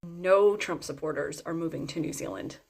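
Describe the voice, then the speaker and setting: Woman explaining her views on camera, kinda low voice, lots of ums. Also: moderate pace, creaky, over music. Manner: earnest and explanatory, frequent ums and restarts. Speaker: political commentator. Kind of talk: casual opinion vlog.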